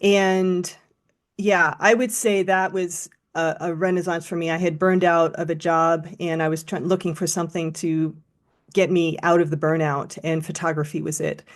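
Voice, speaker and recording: Chatty woman guest, lowish voice. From United States. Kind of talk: casual interview chat.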